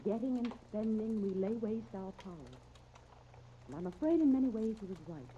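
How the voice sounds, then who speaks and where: A woman's voice: mid-range voice, warm tone. A woman reading a scripted 1930s radio advertisement as spokeswoman, genteel, persuasive and carefully phrased. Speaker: commercial spokeswoman. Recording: tinny, hissy 1939 radio disc recording.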